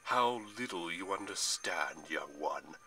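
A man puts on an ancient Titan general for a storybook tale. The voice is deep and booming, drawn out slowly with a sneer. He gloats pompously and menacingly. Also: expressive, sharp tone, slight background noise, full character voice. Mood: gloating.

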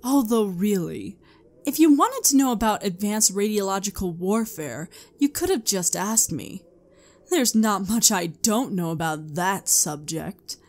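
A woman plays an immortal pony princess in a fan audio drama. She is breezy and amused, and her pitch swings widely as she speaks. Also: expressive, a little slow, darkish tone.

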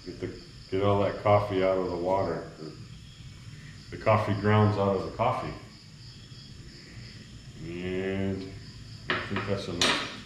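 A man's voice: sits low, level tone, takes it slowly, pauses often. Easygoing man in an echoey kitchen. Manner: easygoing, rambling, talks to viewers while cooking. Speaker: vlogger. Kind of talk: casual cooking vlog chat. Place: small bare cement-walled kitchen. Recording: echoey room, faint background noise. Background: crickets at night.

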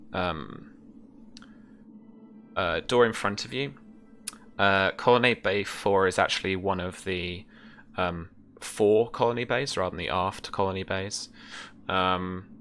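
Deep-voiced, bright-toned man narrating a game. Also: slight background noise. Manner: hesitant, piecemeal phrasing. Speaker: game master. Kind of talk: improvised scene narration for tabletop game. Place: small room at home with bookshelves.

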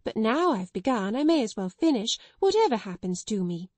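A woman's voice: lively intonation, moderate pace, warm tone, dry recording. A woman voicing a timid middle-aged spinster schoolmistress who breaks into tearful, hysterical accusations. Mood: hysterical, accusing. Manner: tearful, pleading, shrill outbursts.

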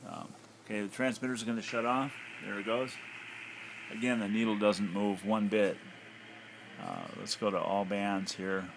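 American male hobbyist, lowish voice, casual hesitant walk-through, light background noise. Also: gravelly, a bit bright. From United States. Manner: hesitant, thinking aloud. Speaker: amateur radio hobbyist. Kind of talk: casual off-the-cuff demonstration commentary. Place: indoors at a home radio desk. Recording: noisy handheld home recording.

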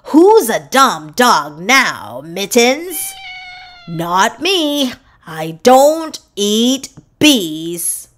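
A woman voicing an earnest, boastful pet dog in a children's picture book, with emphatic, drawn-out delivery. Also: on the high side, very animated, a bit bright, exaggerated voice. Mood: emphatic warning.

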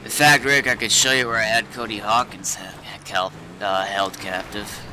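A man's voice: fairly low pitch, normal speed, pushed and bright, poor audio. A man chatting casually in character as he plays an online roleplay game. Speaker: roleplay gamer and streamer. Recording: clear vehicle noise behind game voice.

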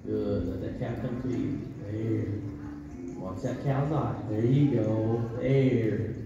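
A man's voice: on the low side, slow pace, heavy background noise. Texan man calling commands across an echoey arena. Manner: short repeated commands, calm and encouraging. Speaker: horse riding instructor. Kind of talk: coaching riders live from the arena. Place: large covered indoor arena. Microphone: camera far across the arena. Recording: distant, roomy arena sound, dull audio with music behind.

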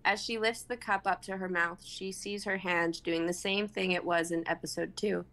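A woman in her twenties narrates a script in a bright, forceful voice. Her pitch sits a little low as she reads out scene directions dramatically. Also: fairly even intonation, normal speed. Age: 20s. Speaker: narrator reading stage directions. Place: home room on a group video call.

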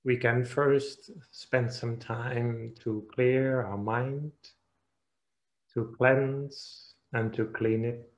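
A man, a Buddhist monk giving an online Dharma talk over a video call, gentle and hesitant, with many fillers. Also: mid-range voice, steady tone, drawn-out words. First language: Dutch. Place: quiet room at home. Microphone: webcam on video call.

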